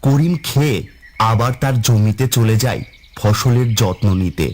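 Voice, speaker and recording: A man's voice: mid-range voice, unhurried, darkish tone, a little hiss or hum. A man giving scripted narration as the narrator of a cartoon story.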